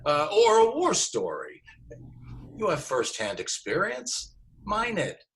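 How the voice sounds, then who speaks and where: Actor as a wildly animated, brisk Hollywood agent. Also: male voice, high voice, full character voice. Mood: offhand advising. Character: busy, brisk male Hollywood talent agent. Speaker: actor in a screenplay table read. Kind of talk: conversational screenplay table-read acting. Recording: noisy remote online recording with clicks.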